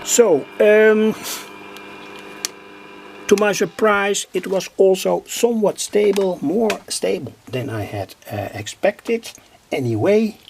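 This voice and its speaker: A man demonstrating a radio circuit at his workbench, speaking haltingly with pauses, fillers and self-corrections. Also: high-pitched, animated, gravelly, darkish tone, a little hiss or hum.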